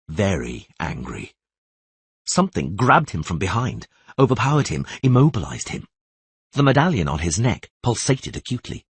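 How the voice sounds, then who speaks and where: Very husky male audiobook narrator. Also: fairly low pitch, no room sound. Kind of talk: dramatic scripted fantasy narration.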